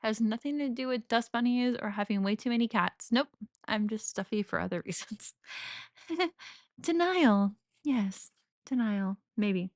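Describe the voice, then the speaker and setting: A woman's voice: fairly high pitch, lively intonation, measured pace, dry recording. Stuffy-nosed gamer girl chatting away, giggly, dry close sound. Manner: chatty, giggly, self-mocking, cozy. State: slightly stuffy nose. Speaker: gaming streamer. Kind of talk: casual let's-play commentary.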